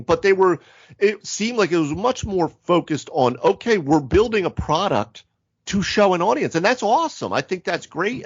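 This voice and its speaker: A man's voice: a little high, muffled recording. A man chatting casually as a podcast co-host about tabletop games, informal and slangy, often saying dude and right.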